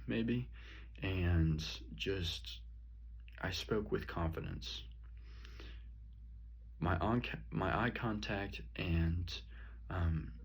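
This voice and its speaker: A young man from the country with a slight rural twang and a very deep voice, talking slowly and haltingly through a reflection on his own speech, full of ums and restarts. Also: breathy edge. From rural area. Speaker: student. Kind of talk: spoken self-reflection on a speech. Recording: dull lo-fi recording.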